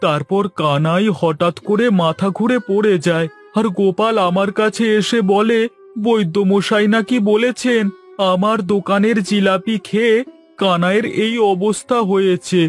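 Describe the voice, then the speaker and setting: A timid cartoon sweet-shop keeper acted by a man, with a very high voice for a man. He sounds anxious and humble, pleading deferentially over light background music. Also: steady tone, measured pace. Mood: anxious, aggrieved. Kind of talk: animated cartoon voice acting in Bengali moral story.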